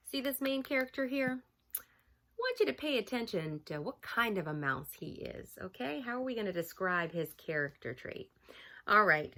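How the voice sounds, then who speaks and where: A woman reads aloud to young children as a first-grade teacher would. Her delivery is expressive and unhurried, with a bright tone and very animated pitch. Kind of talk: children's story read-aloud.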